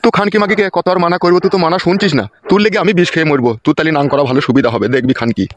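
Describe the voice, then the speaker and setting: A man's voice: fairly high pitch, rapid-fire, natural voice. A man voicing a jealous, bullying older village husband in a comedy cartoon, cursing and interrogating harshly. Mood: accusing.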